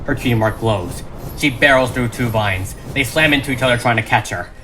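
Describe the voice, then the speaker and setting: A man's voice: medium pitch, a little fast, sharp tone, a little hiss or hum. A man reading a script as dramatic narration, with emphatic, suspenseful delivery. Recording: music behind the voice.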